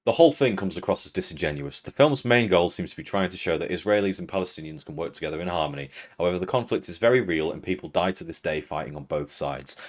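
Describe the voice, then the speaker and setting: Deep-voiced British man giving scripted commentary. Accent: British English. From Britain. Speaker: video essay host.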